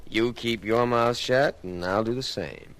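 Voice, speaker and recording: An American actor in an old-time radio drama, playing Johnny's part as a brusque, secretive rural sawmill worker with blunt, folksy, clipped speech. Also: male voice, medium pitch, a little slow, fairly bright tone, natural voice. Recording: old radio recording, faint noise.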